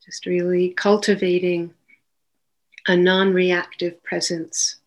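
Woman gently guiding a meditation. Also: fairly low pitch, level tone. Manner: gentle, unhurried instructions with long pauses. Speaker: meditation teacher. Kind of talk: guided meditation.

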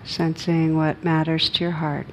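Slow, low-pitched woman guiding meditation. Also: poor audio. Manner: gentle, unhurried instruction with long pauses. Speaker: meditation teacher. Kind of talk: guided meditation. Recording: steady background noise with low rumble.

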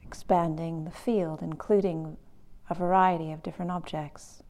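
A woman leading a guided meditation as a teacher, calm and unhurried, with long pauses between short phrases. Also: on the low side.

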